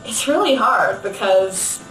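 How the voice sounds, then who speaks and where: A woman's voice: medium pitch, expressive, slow pace, a bit bright, soft background music. Woman far from the mic, echoey room. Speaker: voice actress, interviewee. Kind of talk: unscripted interview talk.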